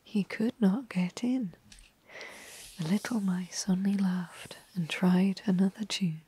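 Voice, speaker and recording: A woman telling a classic storybook tale in a soft, unhurried ASMR whisper. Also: mid-range voice, husky.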